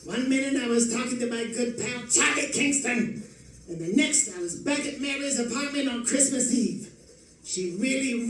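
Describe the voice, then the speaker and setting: A man's voice: extremely high pitch, a little hiss or hum. A man playing a bewildered, boastful 1940s private eye in a theatrical, wisecracking old-time radio monologue. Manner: melodramatic, wisecracking diary narration. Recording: echoey room, distant microphone.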